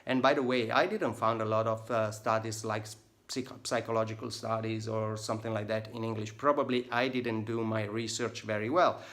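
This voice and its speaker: A man's voice: on the low side, steady tone, moderate pace, a bit bright. A man with an Italian accent, Italian his first language, casually reviewing a book in English with many ums and uhs and opinionated asides. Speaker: tattoo vlogger reviewing a book.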